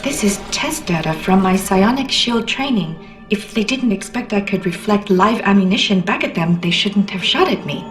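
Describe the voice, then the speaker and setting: A woman voicing a young escaped psychic test subject in a game, deadpan, matter-of-fact and coolly menacing. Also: medium pitch, moderate pace, far from the mic, music in the background, natural voice. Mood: cool, wry.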